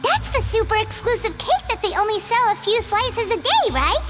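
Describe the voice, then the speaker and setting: A woman voicing a tiny floating female companion creature who chatters excitedly and talks about herself in the third person. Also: very high voice, moderate pace. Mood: curious. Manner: refers to herself in third person, blurts out questions.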